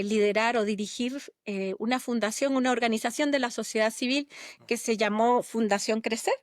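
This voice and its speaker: A middle-aged woman from Guatemala speaks as an interview guest, thinking aloud with frequent pauses and restarts. Her voice sits a little high. The sound is dry and close, with no room echo. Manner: reflective, with many fillers and restarts. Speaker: guest, architect and urbanist. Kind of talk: relaxed interview talk.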